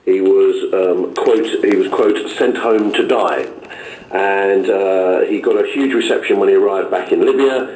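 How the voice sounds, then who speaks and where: A man's voice: deep voice, unhurried, darkish tone, slight background noise. A man from Essex, a radio presenter, chatting in a meeting and repeating words as he goes. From Essex, England. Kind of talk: editorial meeting chat. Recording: echoey room from far off.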